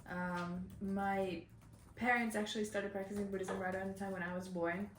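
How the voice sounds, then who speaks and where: A woman's voice: medium pitch, fairly even intonation, normal speed, soft background music. A woman in her thirties, from Hungary and raised in Finland, answering interview questions thoughtfully with frequent ums. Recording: distant mic in echoey room with noise.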